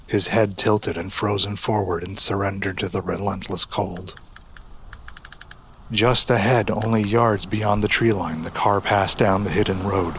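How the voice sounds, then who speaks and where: A man narrating a scripted audio drama in a solemn, deliberate storytelling manner. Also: low voice, a bit bright, slight background noise. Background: music.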